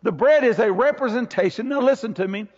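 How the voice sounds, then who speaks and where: A man's voice: very high-pitched, brisk, husky. A man in his sixties, a pastor preaching emphatically to a congregation, repeating phrases and addressing listeners directly. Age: 60s. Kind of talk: sermon to a congregation. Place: church stage before a congregation, indoors. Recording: muffled, long hall reverb.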